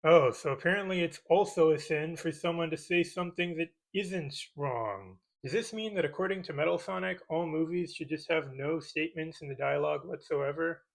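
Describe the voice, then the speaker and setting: A man's voice: on the high side, moderate pace, gravelly, hardly any pauses, low-quality recording. A man delivering scripted comedic commentary in a sarcastic, pedantic manner as a video host. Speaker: host of a movie-criticism parody video.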